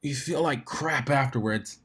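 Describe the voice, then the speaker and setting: A man's voice: mid-range voice, a little slow, a bit bright, slightly rough, pitched above the performer's own voice. A man voicing an exhausted, nauseous male pony from a storybook, muttering laboriously. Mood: queasy, strained. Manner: muttering through pressed lips, laboured. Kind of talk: storybook character reading.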